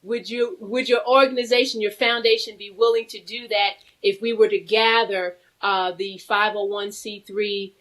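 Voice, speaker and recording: A woman's voice: mid-range voice, fairly even intonation, normal speed, hardly any pauses, fairly bright tone, poor audio. A woman hosting a casual live interview, talking in an informal, playful way. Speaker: talk show host.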